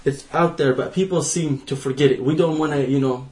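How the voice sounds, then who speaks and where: A man's voice: a little high, brisk, darkish tone. A young man from Iraq speaking as a panelist in a discussion, impassioned and often restarting his sentences. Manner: impassioned, restarting and repeating himself.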